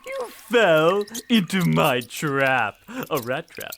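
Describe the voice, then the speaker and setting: A woman's voice: deep voice, very animated, slow pace, vocal fry, full character voice. A woman playing a menacing, grandiose Rat King, a talking rat monster, in a comedic horror radio play. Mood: menacing, gloating. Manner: grandiose, villainous, swooping.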